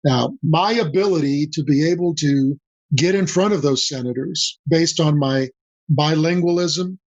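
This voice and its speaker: An older man from Mexico whose first language is Spanish, a guest on a podcast interview, talking in a measured way and repeating key phrases for emphasis. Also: on the high side, darkish tone, no echo. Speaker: guest, senior advisor. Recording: dull dry lo-fi audio.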